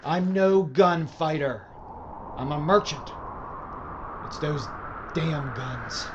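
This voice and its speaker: A man plays a fearful frontier gun dealer in an audio play. His voice sits fairly high, and he speaks slowly and nervously, as if pleading. The recording is muffled and lo-fi, with noticeable hiss. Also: animated. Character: frightened, jittery male gun merchant. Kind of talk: Western audio drama acting.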